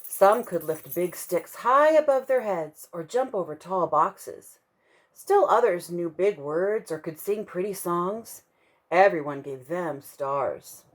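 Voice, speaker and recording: Animated woman reading a bedtime story. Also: mid-range voice. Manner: expressive, slowing down for emphasis. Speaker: children's ministry storyteller. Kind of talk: bedtime story read-aloud.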